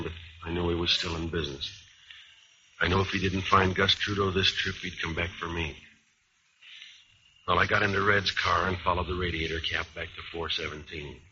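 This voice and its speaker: An actor playing a world-weary hardboiled American jazzman, deadpan and clipped, in mid-century radio drama style on a muffled, noisy recording. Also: low-pitched, moderate pace, close to an everyday voice. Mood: weary narrating. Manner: deadpan, clipped, tough-talking. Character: world-weary, hardboiled jazzman narrator, male, Pete Kelly.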